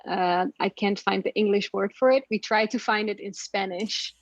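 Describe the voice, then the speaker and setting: A woman's voice: mid-range voice, steady tone, normal speed, dry recording, dull audio. A woman whose first language is Dutch, talking in a casual interview with many fillers and frequent laughs. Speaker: podcast guest. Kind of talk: casual conversation.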